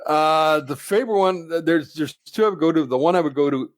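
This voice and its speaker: A man chatting on a podcast, halting and repeating words as he talks. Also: a little high, no echo. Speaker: podcast guest. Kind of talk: casual chat.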